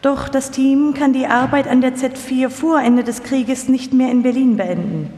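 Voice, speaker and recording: A woman's voice: high voice, fairly even intonation, normal speed, warm tone. A woman delivering scripted narration as a narrator in a live documentary radio feature. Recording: echoey hall, live stage performance.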